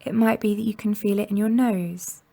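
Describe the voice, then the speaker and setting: A woman guiding a meditation in a gentle, soothing and unhurried manner, leaving long pauses between instructions. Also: mid-range voice, fairly even intonation, gravelly. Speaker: mindfulness meditation guide. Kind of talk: guided body scan meditation narration.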